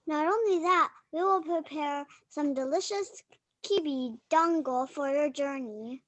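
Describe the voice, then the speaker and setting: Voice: sits high, measured pace, no room sound. A performer playing a loving, worried parent in an amateur dramatic folktale reading.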